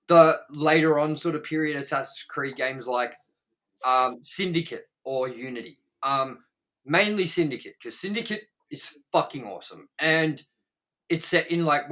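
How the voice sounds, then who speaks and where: A man with a bright, forward voice talks through an opinionated review as a podcast host. His delivery is casual and rambling, blunt and peppered with swearing. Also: fairly high pitch.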